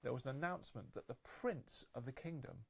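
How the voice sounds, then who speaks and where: A woman's voice: a bass voice, fairly quick. A woman telling a fairytale to children as a live storyteller, agreeable and improvising as she goes.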